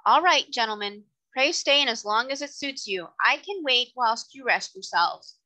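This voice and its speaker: A woman's voice: on the high side, expressive, moderate pace, pushed and bright, pitched above the performer's own voice. A woman voicing a kindly, firm male cab driver in a storybook, plain-spoken and respectful. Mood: stern, droll. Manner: plain-spoken, respectful working-class address.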